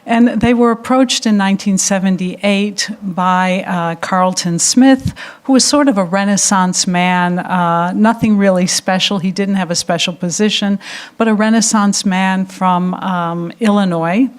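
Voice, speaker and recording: A woman with an American accent giving a public lecture, speaking in a measured way with frequent ums and uhs. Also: medium pitch. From United States.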